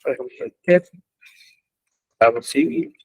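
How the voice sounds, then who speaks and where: A man teaching an online web development class, explaining code slowly with frequent pauses and fillers. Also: medium pitch, a little mellow, husky, no room sound, rough recording. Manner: slow, halting explanation with many pauses and fillers. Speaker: programming instructor. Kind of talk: live online coding class. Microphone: computer mic in an online class.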